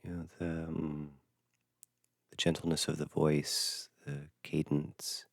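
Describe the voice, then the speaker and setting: Very deep, creaky, slow-spoken male meditation guide. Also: little pitch movement, dry recording, studio quality. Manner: gentle, hushed, halting with long pauses. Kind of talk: unscripted dharma talk for guided meditation.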